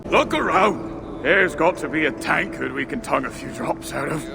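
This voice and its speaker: An actor playing a jovial, effusive drunkard in a video game, with slurred, tipsy exclamations. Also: male voice, fairly high pitch, expressive, moderate pace, creaky, long reverb tail, background noise. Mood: drunken. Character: drunken, jovial old-world male drinker, effusive and slurring. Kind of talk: video game cutscene acting.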